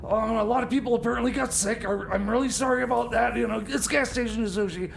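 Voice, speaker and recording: Flustered man with a very high, creaky voice. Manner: flustered, halting, stammering, apologetic. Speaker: radio show host. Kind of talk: comedy radio banter.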